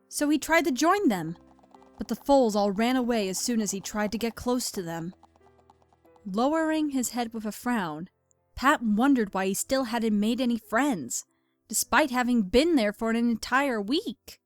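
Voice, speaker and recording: A woman's voice: on the high side, animated, measured pace, faint music behind. A woman reading scripted story narration as the narrator of an audio drama.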